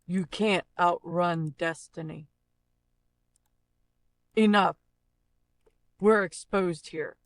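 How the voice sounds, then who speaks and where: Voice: fairly even intonation, extremely slow, long pauses, no echo. An actor voicing a grim fugitive character in terse, dramatic game-style warnings, processed with cyborg-style voice effects. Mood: grim, urgent. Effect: pitch and robotic character voice effects being demonstrated. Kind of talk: short scripted dramatic character lines, played as a looping vocal sample.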